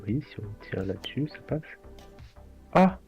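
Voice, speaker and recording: A man's voice: medium pitch, big pitch swings, unhurried, muffled recording, soft background music. A young man bantering and teasing a friend during a casual mini golf game. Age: young adult. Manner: teasing, laid-back remarks. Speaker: friend playing along. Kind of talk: casual gaming chat.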